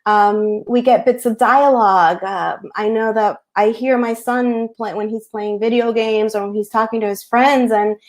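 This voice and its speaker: Author, woman, Spanish-first speaker, animated hesitant chat over a video call. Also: fairly high pitch, moderate pace, few pauses. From United States. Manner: animated, hesitant with many ums. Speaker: picture book author. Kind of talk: casual webinar talk.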